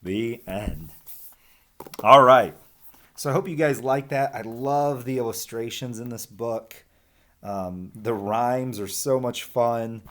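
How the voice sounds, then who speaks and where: Male elementary teacher, unhurried, medium-pitched, expressive with storybook rhymes and chatty in asides to kids. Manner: expressive rhyming delivery, chatty asides addressing children. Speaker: elementary school teacher reading aloud. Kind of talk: picture-book read-aloud and informal lesson for children.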